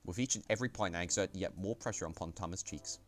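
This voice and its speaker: A man's voice: sits low, quick pace, faint music behind. A man reading a visual novel aloud on a stream, playful, with offhand asides.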